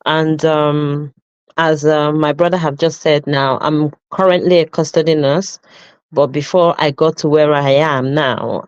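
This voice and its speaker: A woman's voice: sits low, a little mellow, no room sound. Nigerian-accented woman, dull dry sound. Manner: reflective, with frequent fillers and self-corrections. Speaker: guest, custody nurse. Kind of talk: conversational life story interview.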